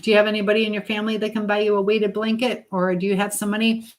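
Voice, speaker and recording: A woman's voice: medium pitch, little pitch movement, racing. A middle-aged woman hosting a casual live chat, talking directly to viewers and giving motherly advice. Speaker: livestream host.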